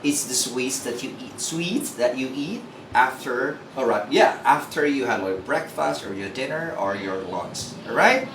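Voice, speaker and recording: A man teaching English pronunciation in a lively, joking classroom style, often asking listeners questions. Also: mid-range voice, measured pace. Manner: animated, jokey, asks listeners questions. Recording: echoey room, mic at a distance, background noise.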